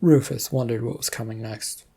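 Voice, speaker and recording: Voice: mid-range voice, steady tone, normal speed, gravelly, dark tone, breathy edge. A storyteller narrating scripted fiction.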